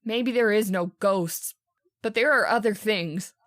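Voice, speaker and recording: An actress playing a frightened, hysterical young woman who pleads and whimpers haltingly in old-time radio horror style. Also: mid-range voice, fairly bright tone, no echo, studio quality, lightly acted. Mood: shaken, fearful.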